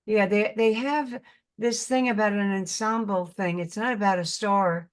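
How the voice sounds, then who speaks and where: An older woman with a New York accent speaks in a mid-range voice, earnest and halting. She circles back over her words and restarts as she reaches for what she means. Her tone is plainly conversational. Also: medium pitch, steady tone. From Brooklyn, New York. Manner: earnest, halting, repeats words while searching. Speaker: actress guest. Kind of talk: casual interview chat.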